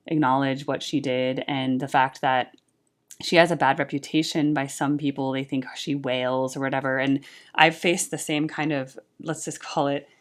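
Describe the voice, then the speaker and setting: A young woman talking casually about music, earnest and chatty, with frequent "like" and "right?". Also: very deep voice. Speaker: YouTube music reactor and tribute band singer.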